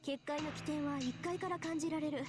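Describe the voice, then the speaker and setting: An actress playing a sharp, proud teenage schoolgirl mage in an anime visual novel. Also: sits high, fairly even intonation, racing, lightly acted. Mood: insistent. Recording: game dialogue over background music.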